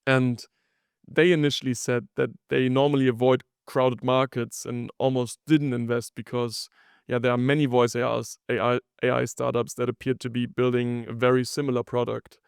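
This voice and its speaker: A man with a mid-pitched voice and noticeable vocal fry hosts a podcast interview in a dry, close recording. He sets up his questions haltingly and conversationally, with restrained intonation. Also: level tone, no echo. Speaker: podcast host.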